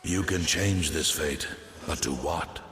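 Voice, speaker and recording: An actor playing an ancient, wise giant tree in a fantasy game, speaking in a solemn, cryptic and stately manner. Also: male voice, a bass voice, slow, fairly bright tone, long reverb tail, faint music behind, full character voice. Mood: solemn, watchful. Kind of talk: scripted fantasy game dialogue.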